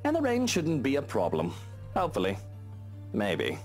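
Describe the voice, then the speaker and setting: A man's voice: medium pitch, huge pitch swings, moderate pace, soft background music. A man playing a casual, flirtatious, wealthy lord of a mansion in a visual novel game, with an informal, breezy manner. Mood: breezy, chatty.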